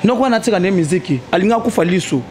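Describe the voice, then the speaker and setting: Animated high-voiced young man arguing. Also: racing, slight background noise. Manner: animated, argues with rising emphasis, mixes French and Lingala. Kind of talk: heated casual debate. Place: small indoor studio with a painted wall. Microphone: handheld mic held toward him.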